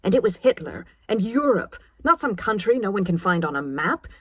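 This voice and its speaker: A woman's voice: mid-range voice, big pitch swings, normal speed, breathy edge, dry recording, clean studio sound. A woman voicing a disapproving, status-conscious middle-aged mother in an audiobook, clipped and scolding. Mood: bitter rebuke.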